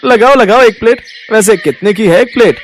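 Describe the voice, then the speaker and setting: Man playing eager customer, high and fast. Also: faint music behind, natural voice. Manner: enthusiastic. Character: eager, chatty street-food customer. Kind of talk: animated moral story acting.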